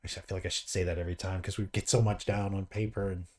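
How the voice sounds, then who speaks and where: Easygoing forty-something man, low voice. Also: level tone, racing, a little mellow, breathy edge. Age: 40s. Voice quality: graying beard mentioned, easygoing. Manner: rambling, joking, reads chat aloud. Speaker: co-host of a live miniature painting stream. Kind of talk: casual chat, reading out viewer comments.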